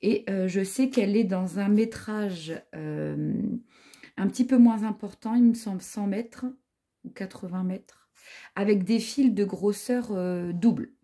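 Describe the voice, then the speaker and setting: A woman's voice: mid-range voice, measured pace, warm tone. A woman in her forties chatting casually about yarn she found, hesitant with many fillers and restarts. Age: 40s. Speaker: knitting vlogger. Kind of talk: casual product review chat.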